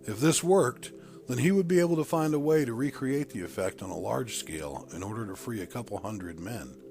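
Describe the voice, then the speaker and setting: Gravelly man narrating a dramatic story. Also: mid-range voice, lively intonation, a little fast. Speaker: audiobook narrator reading fiction. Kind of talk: scripted first-person story narration. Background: music.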